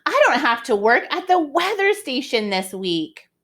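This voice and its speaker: Woman voicing high, swooping cheerful storybook lady. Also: sing-song, unhurried, a bit bright, full character voice. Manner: exclamatory, sing-song. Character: Miss W, cheerful polite lady letter, weather forecaster. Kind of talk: children's storybook character voice.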